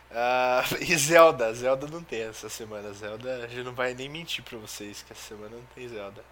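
A man's voice: mid-range voice, normal speed, a bit bright. A man with a Brazilian accent bantering in casual slang as a jokey show co-host. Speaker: podcast co-host.

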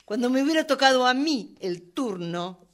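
A woman's voice: high voice, lively intonation, moderate pace. A woman playing an indignant, hot-tempered woman in a radio drama, agitated and repeating words in bursts as she makes excuses. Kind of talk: radio drama workshop acting.

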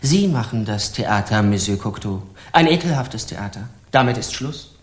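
A man's voice: lowish voice, animated, moderate pace, lightly acted. An actor playing a harsh, scornful Parisian justice official in a German radio crime drama, curt and pompous as he interrogates. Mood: indignant, contemptuous.

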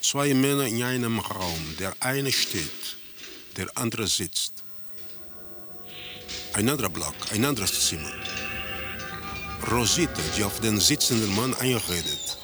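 Casting: cool, detached storyteller for surreal radio play, deliberate slow pace, lowish register. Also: male voice, animated, over music. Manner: measured, detached, descriptive. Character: detached male narrator describing surreal scenes. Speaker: narrator. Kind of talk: scripted radio drama narration.

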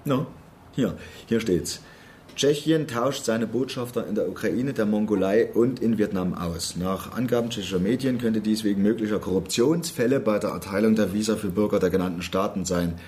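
A man's voice: lowish voice, moderate pace, darkish tone, slight background noise, natural voice. An actor playing an argumentative, defensive activist man who reproaches his colleague in a German radio drama.

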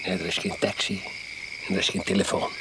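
An actor in a radio play playing an overbearing, eccentric older husband who is pushily hospitable and faintly menacing. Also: male voice, low-pitched, little pitch movement, fast talker. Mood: pushy, unyielding. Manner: domineering, capricious, interrupting. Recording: noisy radio play recording.

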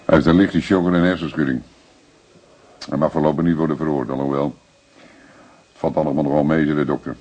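An actor playing a seasoned, calm police detective in a radio drama, measured, persistent and dryly matter-of-fact. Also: male voice, a bass voice, slightly rough.

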